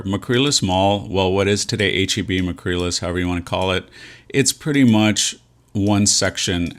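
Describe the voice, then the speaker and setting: A man in his forties with an American accent, reminiscing casually to viewers in a folksy, rambling, nostalgic way with a somewhat monotone voice. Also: fairly low pitch. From San Antonio, Texas, USA. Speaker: vlog host and real estate agent. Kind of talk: casual reminiscing walk-and-talk vlog.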